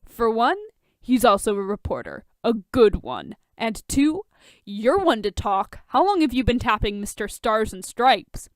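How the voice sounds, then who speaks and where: Woman reading a curt, grumpy billionaire man, crisp. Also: a little high, very animated, unhurried, no echo. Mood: sardonic. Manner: curt, scolding, dry. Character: grumpy, guarded male billionaire, irritated and sardonic.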